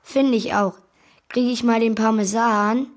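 Woman as cheeky, keen young boy hero-in-waiting, raised pitch, taking his time, children's radio drama. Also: fairly even intonation, a little slow, natural voice. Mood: cheeky, confident. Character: eager cheeky young boy posing as superhero's son. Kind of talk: children's radio play acting.

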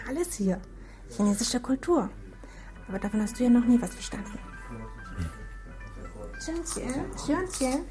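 An actress playing a cheeky, teasing, proud sister in a radio drama, breezy and enthusiastic. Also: female voice, a little high, lively intonation, a little slow, long pauses, mellow, faint music behind.

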